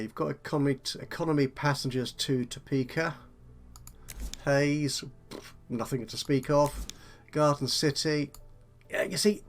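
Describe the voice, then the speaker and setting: Man, slightly croaky, casual let's-play chatter, muttering asides, faint music behind. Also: mid-range voice, a bit bright. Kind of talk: casual gameplay commentary.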